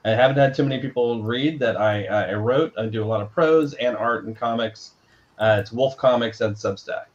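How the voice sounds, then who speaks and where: Dull lo-fi audio, man, medium pitch, casual guest plug. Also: moderate pace. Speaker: comics writer-artist and guest. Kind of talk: casual self-promotion on a livestream. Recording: dull, lo-fi microphone sound.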